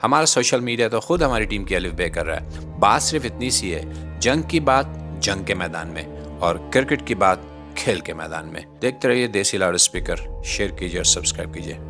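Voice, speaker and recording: A Pakistani man with a fairly low voice reads a scripted satirical commentary. He is sarcastic and rhetorical, with music playing faintly underneath. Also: lively intonation, normal speed. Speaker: satirical commentator, channel host.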